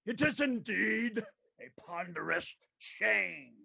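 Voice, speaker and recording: An actor playing the tormented, remorseful ghost of a dead miser in a radio play, declaiming mournfully with moaning, drawn-out cries. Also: male voice, sits high, expressive, vocal fry, bright, forceful tone, breathy edge, full character voice. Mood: grave, accusing. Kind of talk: theatrical radio play acting.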